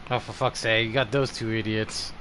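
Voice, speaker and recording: A man's voice: on the low side, little pitch movement, normal speed, fairly bright tone, slight background noise. A man commentating on a gameplay stream in a muttering, foul-mouthed way.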